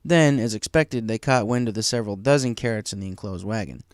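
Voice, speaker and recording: A man's voice: lowish voice, brisk, no echo, studio quality. A man reading scripted story narration as the narrator of a fan tale.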